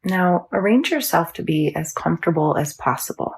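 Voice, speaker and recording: A woman teaching yoga nidra, guiding a meditation in a soothing, measured, instructional manner. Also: on the low side, animated, gravelly, breathy edge. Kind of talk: guided meditation.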